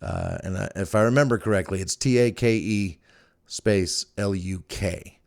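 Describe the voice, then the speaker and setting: A man's voice: low-pitched, moderate pace, gravelly. A man hosting a podcast solo in casual, chatty talk. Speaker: podcast host.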